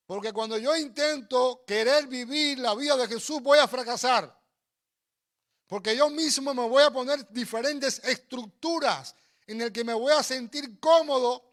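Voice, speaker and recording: A man's voice: very high-pitched, moderate pace, fairly bright tone. A man preaching and telling personal stories in an emphatic, exhorting way, repeating phrases. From Cuba. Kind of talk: sermon with personal storytelling.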